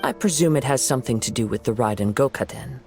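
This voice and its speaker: A young male samurai voiced by an actor speaks with a creaky edge over faint music. The manner is calm, measured and polite, a perceptive wanderer reasoning formally in a dramatic video game scene. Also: mid-range voice, lightly acted. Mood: composed, reasoning.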